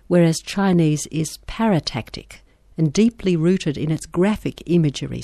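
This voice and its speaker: A woman's voice: low voice, dark tone, no room sound, studio quality. A woman hosting a radio interview, introducing her guest and asking long, articulate questions. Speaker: radio host.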